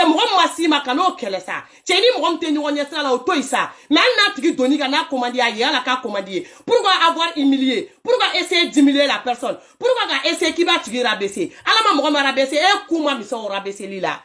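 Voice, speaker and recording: A woman commenting casually on voice notes for her followers, animated and scolding, often laughing as she talks. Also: high voice, fast talker, hardly any pauses. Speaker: social media commentator.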